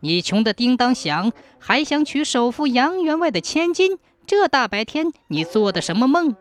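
A storybook matchmaker played by a woman narrator, brash and boastful. Her voice sits very high and leaps about as she wheedles and exclaims, always cajoling with theatrical flair. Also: lively intonation, moderate pace. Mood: scornful.

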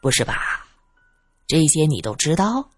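A woman narrator voices a calm, wry man in an audiobook drama. He sounds dry and measured, on the low side, with a slightly husky grain. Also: no echo, faint music behind, full character voice. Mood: dry, curious. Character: wry, unruffled man, dryly amused observer.

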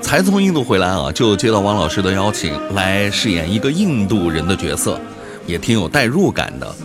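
A man's voice: lowish voice, very animated, a little fast. A man who does voice acting, talking casually about taking part in a production. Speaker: amateur voice actor. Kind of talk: casual behind-the-scenes chat. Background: music.

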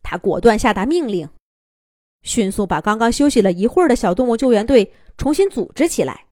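A woman storyteller reading a children's fairy tale in an expressive, lilting manner. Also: on the high side, fairly quick, dry recording, studio quality.

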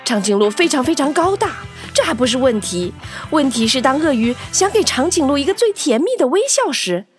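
A woman narrating a children's story in an expressive, animated scripted style, acting out the tale. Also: sits high, brisk, few pauses, soft background music.

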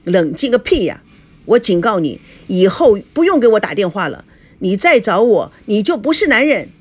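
A jealous, hot-tempered young wife played by a woman narrator in an audiobook drama. Her voice sits high and swings widely as she accuses and scolds with biting sarcasm. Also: sing-song, measured pace, heavily acted. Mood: furious.

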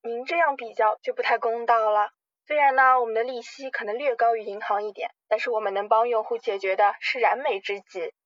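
A woman playing a smooth-talking, scheming loan company manager with polite, formal sales patter in an amateur radio drama. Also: a little high, fairly even intonation, fairly quick, no room sound, lo-fi sound, lightly acted. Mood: persuasive, reassuring.

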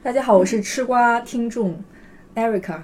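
Woman, fairly high pitch, podcast panelist, casual conversational style. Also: moderate pace, a little mellow, a little hiss or hum, rough recording. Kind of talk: casual chat.

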